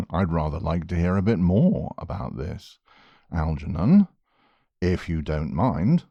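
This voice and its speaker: A man acting an authoritative, wryly amused gentleman in an audio drama, unhurried and commanding, in a slightly muffled recording. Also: low-pitched, big pitch swings, creaky, no room sound, heavily acted. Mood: amused authority. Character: amused, authoritative gentleman calling a groom to account. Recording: dull, muffled, dry sound.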